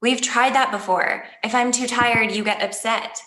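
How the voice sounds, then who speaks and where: An actress reading the part of Emily, a young painter, in a calm and blunt way at a comedy table read. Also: female voice, a little high, moderate pace, reverberant, lightly acted, poor audio. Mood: evasive. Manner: calm, blunt, matter-of-fact delivery.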